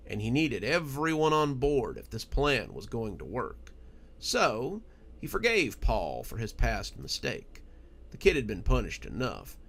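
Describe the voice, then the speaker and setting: Creaky-voiced, animated male storyteller. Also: mid-range voice. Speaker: horror story narrator and channel host. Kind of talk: dramatic storytelling narration.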